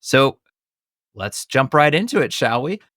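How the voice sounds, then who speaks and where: A man's voice: mid-range voice, very animated, unhurried, a bit bright, dry recording, clean studio sound. A man hosting a casual film discussion, talking in an enthusiastic, conversational fan's way. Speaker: podcast host.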